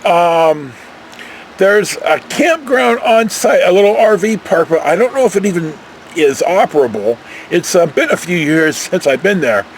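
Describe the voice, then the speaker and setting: Older American male storyteller, folksy and rambling, very lively intonation, slight background noise. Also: sits high, unhurried. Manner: folksy, meandering, animated emphasis. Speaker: vlogger telling personal travel stories.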